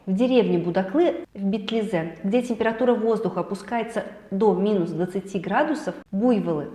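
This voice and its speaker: A woman hosting a weekly celebrity and travel news roundup, hoarse from a cold, lively and chatty with personal asides. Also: mid-range voice, a little fast, roomy sound, background noise. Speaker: news show host.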